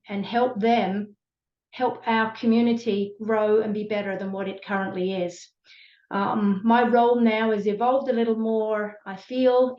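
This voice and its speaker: A woman's voice: medium pitch, darkish tone. Passionate British woman on a lo-fi call. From Britain. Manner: earnest, passionate, many fillers. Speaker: community support team member. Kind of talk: online panel conversation. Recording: echoey room, dull lo-fi sound.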